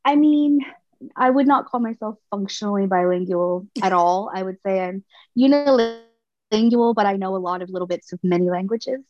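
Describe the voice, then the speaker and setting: A woman in her thirties from Canada, speaking as a podcast guest in a thoughtful, halting way with frequent restarts. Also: mid-range voice, faint music behind. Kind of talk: personal conversation. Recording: slightly muffled dry sound.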